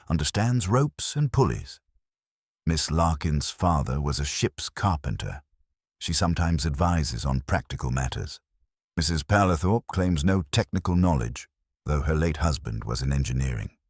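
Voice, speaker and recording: A man voicing a grave, pompous amateur theatre man in a mystery story, deliberately weighing up suspects. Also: very deep voice, big pitch swings, slightly rough, dry recording, studio quality, heavily acted. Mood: grave, insinuating.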